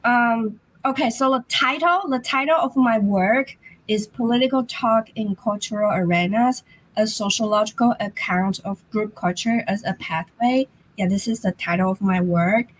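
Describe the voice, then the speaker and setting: Hoarse woman giving a slow academic talk. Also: on the high side, low-quality recording. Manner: slow, halting, apologetic. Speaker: academic presenter. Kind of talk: remote conference talk.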